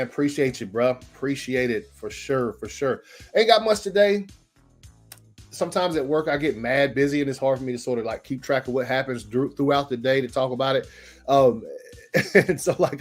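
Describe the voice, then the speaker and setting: A man's voice: medium pitch, a little mellow. A man hosting a daily online show in casual livestream chat, loose and slangy, laughing often, his throat a little scratchy. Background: faint music.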